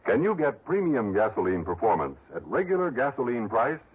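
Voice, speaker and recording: Lively vintage radio announcer man. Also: medium pitch, a little fast, breathy edge. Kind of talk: 1960s radio announcing, scripted. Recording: dull vintage radio broadcast recording.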